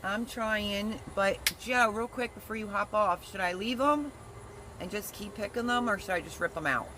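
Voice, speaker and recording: A casual gardening host on a livestream, reading viewer comments aloud and joking with them. The voice sits at a middling pitch. A steady hiss and passing traffic run under the talk. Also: normal speed. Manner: chatty and teasing, reads viewer comments aloud.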